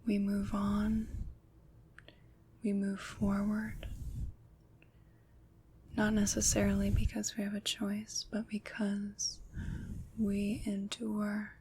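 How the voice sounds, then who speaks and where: A woman plays a kind, comforting witch in an ASMR roleplay. She whispers very slowly and evenly, leaving deliberate pauses and sounding gentle and reassuring. Noticeable noise sits behind her hushed voice. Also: medium pitch, monotone. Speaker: ASMR performer.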